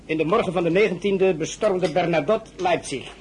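An actor playing a sober, loyal French colonel and prisoner of war, formal and deferential, in an audio drama. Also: male voice, sits high, moderate pace, a little hiss or hum, lightly acted, poor audio. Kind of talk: Dutch audio drama acting.

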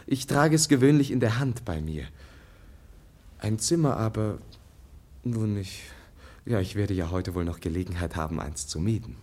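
An actor playing a gentle, naive young Russian prince in a 1950s radio drama, speaking with formal courtesy and some hesitation. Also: male voice, on the low side, lively intonation, normal speed, pauses often. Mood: earnest, polite. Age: young adult.

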